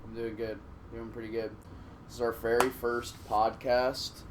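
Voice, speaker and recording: Halting young man on a casual podcast. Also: mid-range voice, level tone, background noise. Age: young adult. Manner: halting and filler-heavy, teasing and affectionate. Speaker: podcast co-host. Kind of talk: casual chat.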